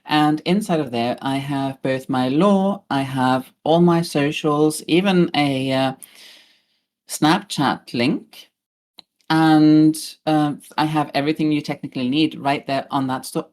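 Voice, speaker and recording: Low-voiced chatty woman from Norway. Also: measured pace, darkish tone. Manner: chatty, laughs easily, plugs her store. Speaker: podcast guest, streamer. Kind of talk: casual podcast chat.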